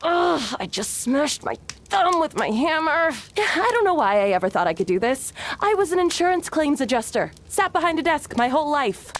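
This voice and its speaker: A high, swooping woman's voice plays a former office worker. She is exasperated and full of self-doubt, in cozy video game style. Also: sing-song, normal speed.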